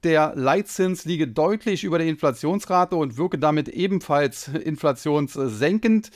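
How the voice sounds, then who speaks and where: A man from Germany hosting a solo finance podcast, explaining at length with many hesitations, his voice slightly hoarse from a cold. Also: a little high, animated, measured pace. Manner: explanatory, frequent filler hesitations. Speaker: podcast host.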